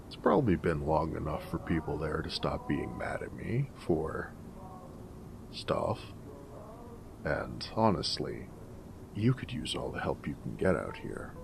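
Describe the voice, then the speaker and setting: A very deep, gravelly ghoul played by a man drawls at an unhurried pace. He is a snarky wasteland drifter and former bush pilot, wry and slangy, in a game-style audio roleplay with clear noise behind him. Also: exaggerated voice. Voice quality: gravelly, low rasp. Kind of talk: character roleplay audio monologue in a post-apocalyptic game setting.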